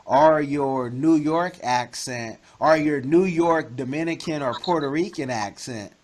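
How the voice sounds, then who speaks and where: A man's voice: a little high, measured pace. Chatty man hosting an interview. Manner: chatty, advising, jokes and laughs loudly. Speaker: interview host. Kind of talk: casual interview chat.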